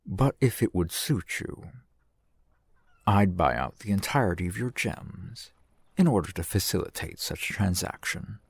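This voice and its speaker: Man playing a deep-voiced, unhurried fantasy shopkeeper. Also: expressive, creaky, breathy edge, no echo, heavily acted. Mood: persuasive, earnest. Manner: formal, deferential, eloquent merchant patter. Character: courteous, shrewd male fantasy shopkeeper and gem trader. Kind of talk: ASMR fantasy roleplay, softly acted one-sided dialogue.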